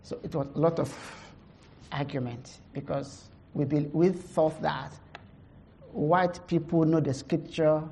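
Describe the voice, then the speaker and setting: A middle-aged woman pastor with a heavy Nigerian accent gives a conference testimony, halting, with many fillers, laughing at herself. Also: deep voice, steady tone, mellow, a little hiss or hum.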